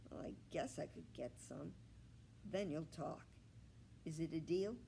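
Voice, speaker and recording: A woman's voice: low-pitched, measured pace, vocal fry, mellow, slight background noise, exaggerated voice. A woman voicing a grumpy, dignified small male pig in a children's storybook, grumbling and indignant. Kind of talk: children's storybook read-aloud.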